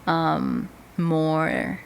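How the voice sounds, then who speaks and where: A woman's voice: low-pitched, extremely slow, creaky, a little hiss or hum. A young woman from the United States, chatting casually as a podcast guest, with a lot of "um" and "like". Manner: relaxed, chatty, with many fillers like "um" and "like". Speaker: podcast guest, artist manager. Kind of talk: casual interview chat.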